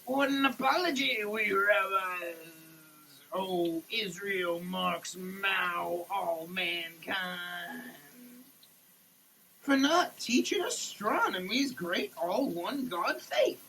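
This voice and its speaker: A performer giving a halting, theatrical, declamatory dramatic reading in a running shower. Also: medium pitch, expressive, gravelly, sharp tone, a little hiss or hum, poor audio. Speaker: solo sketch performer. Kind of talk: dramatic reading of a product label. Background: running water.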